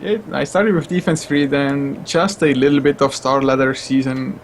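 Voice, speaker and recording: A man's voice: medium pitch, steady tone, normal speed, a little hiss or hum. A man from Estonia with an Estonian accent, an esports caster answering interview questions in a hesitant, self-conscious way.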